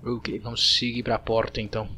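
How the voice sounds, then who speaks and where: A man's voice: medium pitch, level tone, brisk, bright, forceful tone, slight background noise. A man with a Brazilian accent giving casual let's-play commentary, informally muttering his reactions as he goes. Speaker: gameplay host.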